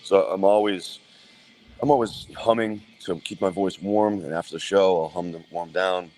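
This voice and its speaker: A man, answering interview questions in a relaxed, plain-spoken way with many ums and restarts. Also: sits low, moderate pace, faint music behind. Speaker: metal band vocalist, guest.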